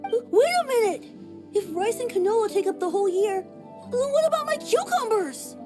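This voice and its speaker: This is a small, eager farm child from a fantasy game. The voice is very high, with a whiny, stammering edge. Game music plays clearly in the background. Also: female voice, expressive, moderate pace. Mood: worried. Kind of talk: video game voice acting. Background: game soundtrack music.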